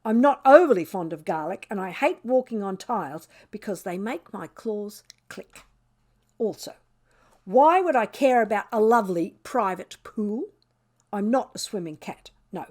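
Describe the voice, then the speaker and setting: A woman's voice: medium pitch, animated, normal speed, a little mellow, lightly acted. A woman voicing a vain, grumbling pet cat telling its story in a children's book, with dramatic, sardonic emphasis. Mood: disdainful.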